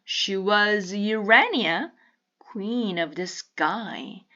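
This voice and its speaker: A woman reading a children's picture book aloud with deliberate, teacherly enunciation. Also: mid-range voice, bright, forceful tone, lo-fi sound. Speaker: storybook reader.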